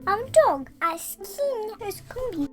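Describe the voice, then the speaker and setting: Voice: extremely high pitch, very animated, slow, soft background music, voice pushed up, full character voice. An adult storybook reader voicing eager, polite little animals with a pleading, sing-song rhyming manner. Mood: eager, hopeful. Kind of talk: children's storybook character voice.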